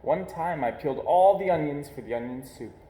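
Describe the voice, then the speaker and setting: A man reading a children's picture book aloud in an expressive storytelling style, pausing deliberately for emphasis. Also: a little high. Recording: echoey, roomy sound.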